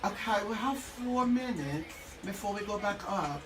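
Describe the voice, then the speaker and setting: A woman's voice: medium pitch, expressive, normal speed, recorded from a distance, a crowd in the background. A woman radio host chatting off-air in the studio, teasing and calling people honey and baby. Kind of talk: casual off-air chat.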